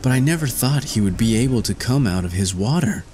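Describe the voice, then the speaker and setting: A man's voice: mid-range voice, animated, fairly quick, a little mellow, soft background music, voice pushed up, natural voice. A man voicing a reluctant, upset young princess in a fairy tale, plaintive in manner. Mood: anxious, plaintive.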